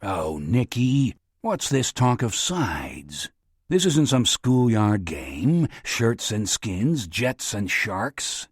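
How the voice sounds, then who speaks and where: A male audiobook narrator voicing a suave older spymaster who speaks softly, slowly and wryly. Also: on the low side, very animated, breathy edge, no room sound, clean studio sound, exaggerated voice.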